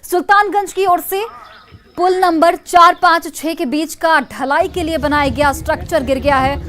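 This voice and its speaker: A woman's voice: very high voice, fairly even intonation, moderate pace, fairly bright tone. A woman news anchor delivering breaking news in an emphatic, urgent way, repeating key phrases. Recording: music bed under the voice. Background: news music.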